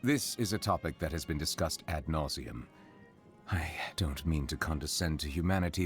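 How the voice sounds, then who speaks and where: A man playing a formal, reserved and courteous chief justice in a video game, speaking in a measured, eloquent, solemn way. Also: deep voice. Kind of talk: scripted character voice lines.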